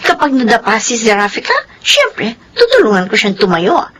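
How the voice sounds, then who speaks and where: Radio drama schemer: vain young Filipina, high bright voice, haughty and mocking. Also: female voice, huge pitch swings, normal speed, heavily acted. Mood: gleeful scheming. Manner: gleeful, theatrical, haughty.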